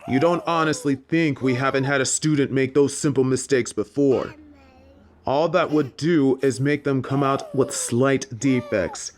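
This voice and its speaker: A man playing a formal, dryly exasperated professor at a magic school in a fantasy audio drama, speaking in a measured way. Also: medium pitch, natural voice. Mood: skeptical, exasperated.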